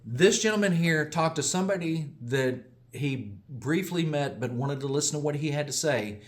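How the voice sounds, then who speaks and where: A man from the United States, a small business owner, giving a casual, digressive update that often corrects itself and chuckles along the way. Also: mid-range voice. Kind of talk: casual rambling monologue.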